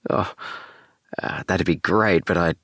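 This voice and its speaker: A man reading an audiobook, voicing a gentle, mischievous forty-year-old man who talks mildly and a little hesitantly. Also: low voice, measured pace, gravelly, no echo, studio quality, full character voice.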